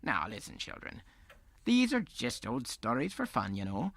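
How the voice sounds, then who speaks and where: A man playing a kindly old Irish storyteller, folksy and gentle, telling tales in an audio drama. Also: mid-range voice, wildly swooping pitch, moderate pace, exaggerated voice. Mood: kindly, playful.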